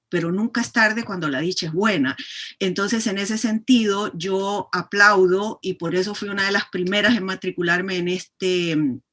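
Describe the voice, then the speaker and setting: A woman's voice: mid-range voice, a bit bright. Hesitant middle-aged Chilean-born woman. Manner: thoughtful, many hesitations. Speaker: course participant. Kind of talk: online class introduction.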